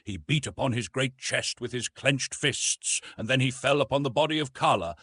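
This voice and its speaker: A man narrating an adventure novel as an audiobook, with expressive, dramatic storytelling. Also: mid-range voice, moderate pace, breathy edge, no echo, clean studio sound.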